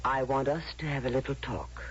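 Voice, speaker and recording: An actress playing a composed, controlling rest-home attendant in a vintage radio drama, formal and gently coaxing. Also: female voice, extremely low pitch, fairly even intonation, brisk, slight background noise. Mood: soothing, controlling. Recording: dull vintage radio recording.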